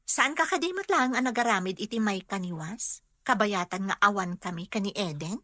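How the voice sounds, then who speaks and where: Wife and mother, radio drama: measured, questioning, pointed, close and dry. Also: medium pitch, expressive, bright, forceful tone, dry recording. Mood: suspicious, controlled. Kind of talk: Ilocano radio drama acting.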